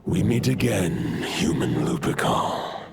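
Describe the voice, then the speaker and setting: An actor playing an ancient, solemn male wolf spirit who speaks slowly in a grave, archaic way. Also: fairly low pitch, vocal fry, heavy background noise. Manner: slow, archaic, grave speech. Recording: game audio with loud music behind.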